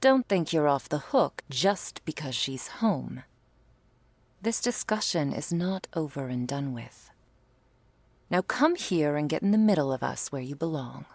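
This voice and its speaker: Woman acting a low-voiced, stern vampire lover. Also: expressive, darkish tone, no room sound, full character voice. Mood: tender, reassuring. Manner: formal, measured, firm, affectionate. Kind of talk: ASMR roleplay acting.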